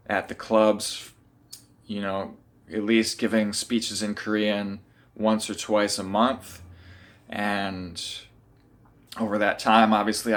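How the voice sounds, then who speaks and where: Man, lowish voice, casual home vlog to camera, earnest, thinks aloud with pauses. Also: a bit bright. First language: English. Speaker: vlogger. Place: his room at home.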